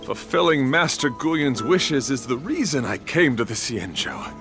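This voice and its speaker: Loyal envoy, game character, mid-pitched, highly expressive range, earnest, music clearly underneath. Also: male voice, measured pace. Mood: earnest, resolved. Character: earnest, loyal visiting envoy serving a master's wishes. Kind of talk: scripted game voice acting.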